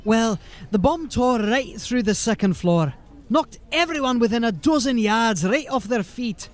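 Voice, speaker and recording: A man's voice: extremely high pitch, lively intonation, unhurried. A man playing a folksy, rambling, deferential corporal of a fantasy city watch in an audio drama. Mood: sombre, regretful. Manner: folksy, rambling, eager to please.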